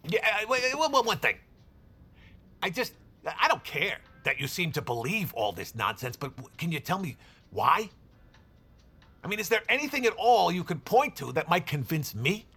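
Audio drama boss: officious, self-important supervisor, highish husky voice, very animated delivery. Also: male voice, normal speed, faint music behind, full character voice. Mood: exasperated, curious. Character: pompous, exasperated male call-center supervisor.

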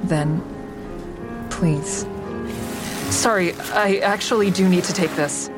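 A woman's voice: on the low side, slow pace, music in the background. An actress playing a guarded, introspective woman who narrates her own story in an audio drama, with wry understatement. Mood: careful, reassuring. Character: guarded woman, a stand-up comic and crisis-line volunteer, narrating. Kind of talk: first-person audio drama narration and dialogue.

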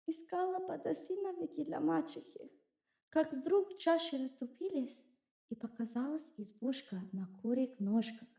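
Soft, high-voiced fairytale girl played by a woman. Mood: soft, mournful. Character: spellbound sixteen-year-old Russian fairytale servant girl. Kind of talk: audio drama with sung folk chants. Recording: muffled, echoing room.

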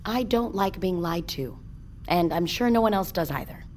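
Woman playing a tough sailor, lowish pitch, blunt, outspoken, audio drama, faint background noise. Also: lively intonation, moderate pace, darkish tone, close to an everyday voice. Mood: angry, challenging. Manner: blunt, confrontational. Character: tough, blunt, outspoken female ship's crew member. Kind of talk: naturalistic audio drama acting.

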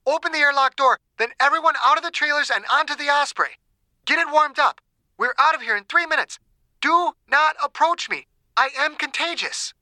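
Urgent female scientist in an outbreak thriller, played by an actress: desperate, blunt, high and sharp, closely dry-recorded. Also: normal speed, no room sound, low-quality recording. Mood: urgent, commanding. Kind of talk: dramatised audiobook acting.

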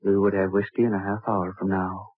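An actor playing a poor, whiskey-craving body seller turned murderer in an old radio drama, muttering in short, repeated phrases. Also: male voice, low voice, flat delivery, a little fast, no room sound. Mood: uneasy, hushed. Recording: muffled, phone-like vintage radio recording.

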